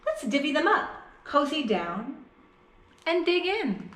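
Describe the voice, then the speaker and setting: A woman's voice: high voice, sing-song, slow pace, fairly bright tone, roomy sound, voice pushed up, exaggerated voice. A woman voicing a friendly, eager, food-loving storybook badger with a coaxing manner.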